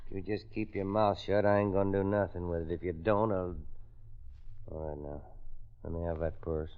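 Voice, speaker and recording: An actor playing a menacing, rough-talking young robber in an old radio crime drama. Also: male voice, low voice, steady tone, moderate pace, lightly acted. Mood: threatening. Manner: terse, rough, slangy. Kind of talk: 1950s radio crime acting. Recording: muffled, tinny old radio recording.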